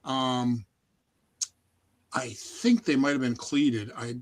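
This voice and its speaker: Male podcast guest, Midwestern American accent, middle pitch, informal reminiscing. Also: steady tone, slow pace. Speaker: podcast guest, vintage snowmobile collector. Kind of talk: casual chat, reminiscing.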